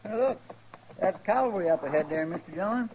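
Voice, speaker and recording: A man's voice: high-pitched, lively intonation, fairly quick, a little mellow. A man playing a folksy, talkative, simple frontier sidekick with a rural American drawl in a 1950s radio western. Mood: curious, sympathetic. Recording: noisy old radio recording.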